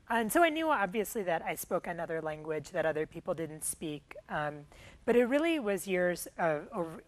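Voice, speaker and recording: A middle-aged woman from Michigan whose first language was Tamil speaks in a fairly low voice. She talks through her story in a relaxed interview, filling pauses with ums and uhs and restarting her sentences as she thinks. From Michigan, USA. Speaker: guest. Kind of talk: conversational interview.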